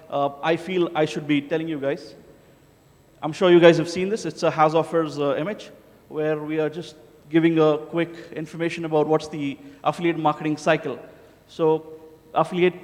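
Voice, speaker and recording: Man from India, slightly high voice, giving a loose, joking conference talk with lots of "uh"s; hall echo. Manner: casual, joking, many filler "uh"s. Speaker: conference speaker. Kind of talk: conference presentation. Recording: hall reverb.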